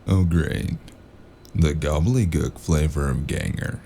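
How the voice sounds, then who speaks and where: Male actor as hard-boiled detective, extremely low gravelly voice, dry deadpan delivery, brooding noir narration, noisy ambience behind. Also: unhurried. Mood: irritated, cool. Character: weary, sardonic hard-boiled noir investigator. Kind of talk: first-person noir audio drama narration.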